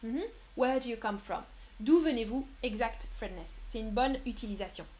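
A woman's voice: on the high side, darkish tone. A young woman hosting a live French lesson, patiently answering viewers' questions from the chat and spelling out examples. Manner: slow, clear and patient, often reading chat names aloud.

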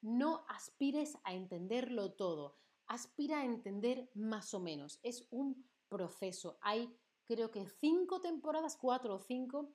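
Young spanish teacher lady on a stream, chatty, asks the chat questions, clear neutral speech. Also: a little high, expressive, measured pace, darkish tone. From Andalusia, Spain. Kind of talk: live-stream language lesson.

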